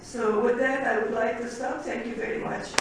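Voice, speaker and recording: A woman physician giving a conference presentation, halting, with many pauses and fillers as she works through lists. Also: a little high, steady tone. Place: conference hall. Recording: echoey hall, distant mic, faint noise.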